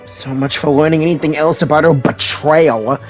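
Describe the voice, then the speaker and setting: A man streaming a video game, reading choices aloud and commenting in a playful, stumbling, excitable way. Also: on the high side, normal speed, faint music behind. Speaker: gaming streamer.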